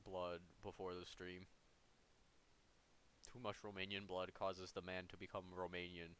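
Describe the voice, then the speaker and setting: A man's voice: low-pitched, monotone, a little slow, fairly bright tone, slight background noise. A man co-hosting a casual live stream, dropping wry, dry remarks. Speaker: stream co-host.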